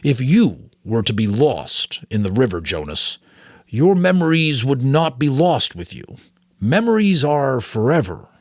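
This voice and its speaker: A man voicing a grave, weary, thoughtful old mentor in an audiobook, speaking in a deliberate, solemn way. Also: medium pitch, sing-song, a little mellow, no room sound, studio quality, voice pushed up. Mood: sorrowful. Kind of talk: audiobook character reading.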